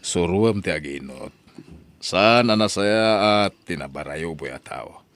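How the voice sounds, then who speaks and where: Middle-aged patron father for audio drama, male actor: low register, animated melody, paternal, admonishing. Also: measured pace, full character voice. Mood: scolding. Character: well-off middle-aged father, kindly patron, gently scolding. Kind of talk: Ilocano audio drama acting.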